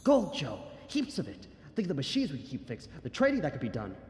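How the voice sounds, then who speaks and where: Man playing an excitable gold prospector in a cavern. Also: on the high side, fast talker. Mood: awestruck, excited. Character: excited male gold prospector underling, eager and awestruck. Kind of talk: classic radio adventure serial acting. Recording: echoey cavern reverb, faint noise.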